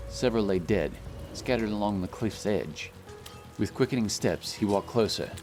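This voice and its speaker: A man reading scripted fantasy narration as a dramatic storyteller. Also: fairly low pitch. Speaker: audiobook narrator. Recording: loud music behind the voice.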